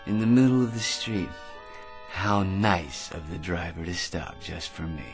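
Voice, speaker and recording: An American actor in a radio drama playing a cocky, ordinary man who rambles smugly and stammers with excitement. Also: low voice, a little slow. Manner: rambling, smug, stammering excitedly, pestering with tag questions. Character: cocky, chattering, ordinary man full of crackpot schemes. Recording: radio drama with music underneath.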